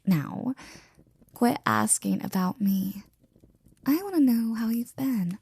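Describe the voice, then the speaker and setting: A woman playing a flirty, devoted maid in an intimate ASMR roleplay, breathy and often near a whisper, with a teasing, affectionate manner. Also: a little high, darkish tone, no echo, full character voice. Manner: teasing, affectionate, slow and drawn out.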